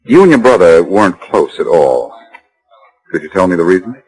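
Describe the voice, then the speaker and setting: An actor playing a wry, confident amateur detective in a 1940s radio drama, quick with wisecracks. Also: male voice, low voice, very animated, darkish tone, slightly rough, full character voice, rough recording. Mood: probing, sympathetic. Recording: vintage 1940s radio broadcast recording.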